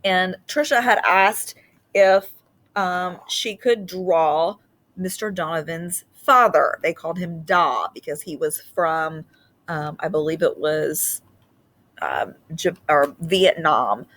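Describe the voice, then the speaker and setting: Slow-paced woman teacher reading aloud. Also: on the low side, a bit bright. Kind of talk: read-aloud lesson with informal recap.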